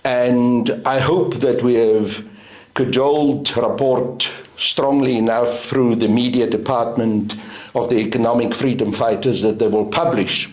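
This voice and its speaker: A middle-aged South African man whose first language is Afrikaans, speaking in a deliberate, emphatic way as an interview guest. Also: mid-range voice, steady tone, darkish tone. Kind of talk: interview answer. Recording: roomy echo.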